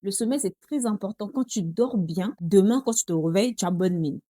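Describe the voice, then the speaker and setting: A woman's voice: mid-range voice, animated, fairly quick, warm tone, no echo, clean studio sound. A young woman with a Congolese accent chatting to her viewers in a casual vlog, often calling them girls. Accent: Congolese French. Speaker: vlogger. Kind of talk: casual talk to camera.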